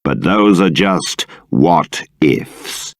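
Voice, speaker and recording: Middle-aged video game monarch: lowish voice, dry close sound, formal, dignified, deliberate. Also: male voice, lively intonation, dry recording, exaggerated voice. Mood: grave, reflective. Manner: regal, formal, measured, deliberate. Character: dignified, stern but fair middle-aged fantasy king. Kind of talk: theatrical scripted game dialogue.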